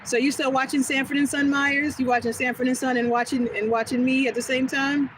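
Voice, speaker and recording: A woman's voice: high voice, fairly quick. Chatty woman live-streaming outdoors. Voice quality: smooth, soothing voice. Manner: relaxed, rambling, talks back to chat comments. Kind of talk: casual live-stream chat with viewers. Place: outdoors on a quiet street. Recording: noisy outdoor phone recording.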